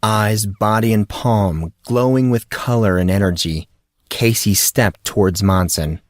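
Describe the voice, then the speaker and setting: Male fiction narrator, lowish voice, dry studio-tight sound, reading fantasy prose. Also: a little slow, no room sound. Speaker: audiobook narrator.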